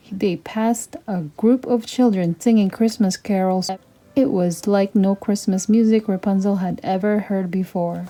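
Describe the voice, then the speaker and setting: A woman's voice: mid-range voice, warm tone. A woman narrating a children's storybook aloud as a storytime host.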